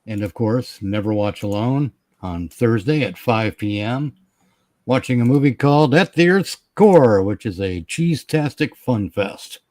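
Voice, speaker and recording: A man's voice: medium pitch, lively intonation, measured pace, a little mellow, no echo. A man chatting casually as a panelist on a livestream, informal and given to blunt exclamations. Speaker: panelist and streamer. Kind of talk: casual chat.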